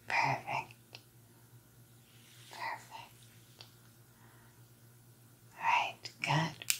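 Slow, breathy whispering young woman. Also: rumbling low. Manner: slow, coaxing, softly commanding. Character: possessive psycho girlfriend playing nurse. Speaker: ASMR role-play performer. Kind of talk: whispered ASMR role-play. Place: small dim indoor room. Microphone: close microphone.

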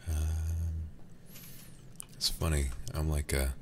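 A man streaming a game ASMR-style into a close microphone, speaking softly and intimately with frequent whispering, in an affectionate, languid manner. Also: a bass voice, flat delivery, slow pace, background noise. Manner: breathy, often whispered, intimate; affectionate, doting toward viewers. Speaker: livestreamer.

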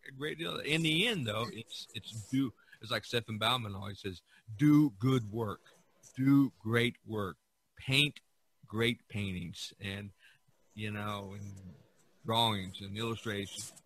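A man's voice: on the low side, slow, a bit bright, husky, no echo. A man hosting a podcast, chatting casually in a rambling, emphatic way. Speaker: podcast host.

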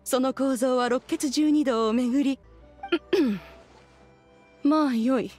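A woman's voice: high-pitched, slow pace, a little mellow, soft background music. A woman voicing a haughty ancient female immortal in a video game, speaking in an archaic, imperious, formal manner.

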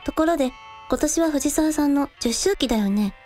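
A woman playing a considerate, composed younger sister with a gently wry streak, in a radio drama. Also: high-pitched, expressive, moderate pace, faint music behind. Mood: chatty.

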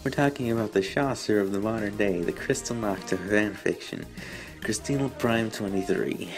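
A man hosting a review show, reading aloud and commenting with mock-indignant, deadpan sarcasm. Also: lowish voice. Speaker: host of a fan fiction review show.

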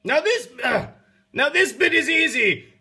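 A man's voice: extremely high pitch, animated, unhurried, vocal fry, pushed and bright, voice pushed up, full character voice. A man playing a pompous, crude rich Englishman with a mustache and monocle, in a comedy sketch video.

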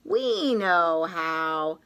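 Slow, very animated woman reading aloud. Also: lowish voice, gravelly, smooth. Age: middle-aged. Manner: expressive, sing-song, rhythmic, drawn-out phrases. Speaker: teacher reading a picture book aloud. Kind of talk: read-aloud of a children's story. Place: small indoor classroom-style room.

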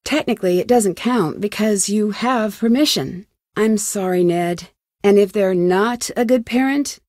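A woman's voice: medium pitch, measured pace, darkish tone, dry recording, studio quality, lightly acted. An actress playing an earnest, determined young female amateur detective in conversational video game dialogue. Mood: intent, urgent. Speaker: lead character in a detective adventure game.

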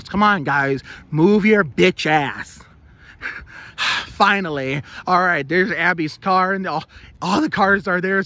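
A young man chatting casually and excitably, swearing freely, into a phone at arm's length while vlogging outside. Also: high-pitched. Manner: excitable, profanity-laden. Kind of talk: casual self-filmed vlog chat. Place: outdoors on a suburban street. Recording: outdoor street noise, passing vehicles.

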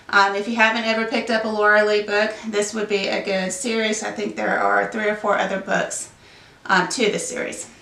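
A woman's voice: medium pitch, vocal fry, a bit bright. A woman in her thirties with a sinus cold, chatting casually about the books she read this month, rambling with many ums and self-corrections. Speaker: book vlogger. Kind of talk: casual book wrap-up chat. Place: home room with bookshelves behind. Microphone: camera a little way off. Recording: roomy echo, mic a bit distant.

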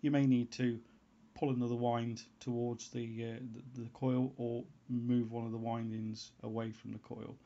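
A man giving an unscripted how-to voice-over as a hobbyist presenter, in a halting way, as if thinking aloud. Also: medium pitch, flat delivery, mellow. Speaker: hobbyist presenter of a homebrew antenna tutorial. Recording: dull, lo-fi sound.

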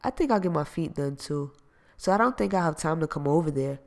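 A woman's voice: sits low, lively intonation, fairly quick, creaky, a little mellow. A man reading an audiobook, voicing a sassy young woman who brushes off her ex. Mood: breezy, dismissive. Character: young woman, cool and dismissive, then defiant.

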